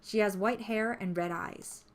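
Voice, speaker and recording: A woman reading a story aloud as scripted narration, in an expressive storytelling manner. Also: medium pitch, a little slow. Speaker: visual novel player reading the text aloud. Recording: music behind the voice.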